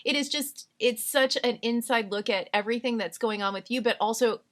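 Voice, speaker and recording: A woman's voice: fairly high pitch, brisk, fairly bright tone. A woman hosting an interview show, gushing and enthusiastic with her guest.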